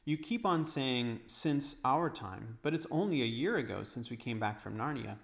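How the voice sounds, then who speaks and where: A man's voice: medium pitch, expressive, moderate pace, creaky, a bit bright. A man voicing an argumentative, skeptical schoolboy in a storybook. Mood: incredulous.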